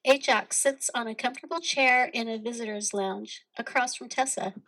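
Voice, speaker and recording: A woman serves as narrator, reading stage directions from a script. Her voice is pitched slightly above average. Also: moderate pace, pushed and bright, low-quality recording.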